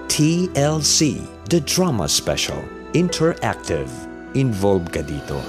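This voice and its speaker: A man's voice: lowish voice, wildly swooping pitch, slow pace. A man delivering a scripted radio promo as a station announcer. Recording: music bed under voice. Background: music.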